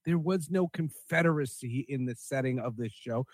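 A man's voice: medium pitch, normal speed, dry recording. A man co-hosting a casual panel podcast, opinionated and emphatic, often repeating himself for stress. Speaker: podcast co-host.

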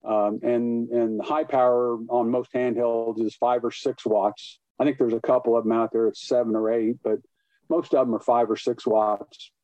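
A man speaking as a guest expert, explaining things informally with a halting, hesitant delivery. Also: mid-range voice, flat delivery, warm tone. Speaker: guest expert, boating safety educator. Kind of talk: informal explanatory interview talk. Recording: muffled, roomy, echoey sound.

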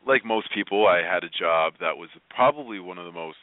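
A man speaking as an interview guest, telling personal stories in an expressive, emphatic and informal way. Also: low-pitched, fairly quick, no echo. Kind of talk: conversational personal storytelling. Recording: phone-like narrow-band online call.

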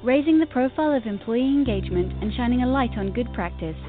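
A woman's voice: fairly high pitch, expressive, fast. A woman reading a scripted radio show intro as announcer. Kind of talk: scripted radio jingle voice-over. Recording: narrow phone-quality audio. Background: music.

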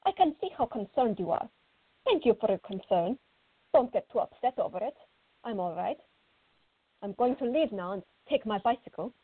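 Radio play role: impulsive teenage girl acted by a woman, high husky voice, excitable, phone-like recording. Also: moderate pace, pauses often, no echo. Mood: defiant, put out. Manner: exclamatory, flustered, muttering to herself. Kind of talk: radio theater acting. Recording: tinny, phone-like narrow band.

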